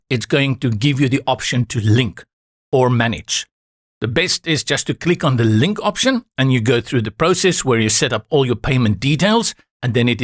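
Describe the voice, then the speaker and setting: A man whose first language is Afrikaans, calmly guiding viewers step by step through a software setup in a screen-recorded tutorial. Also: medium pitch, no echo, studio quality. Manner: calm, instructive, reassuring asides.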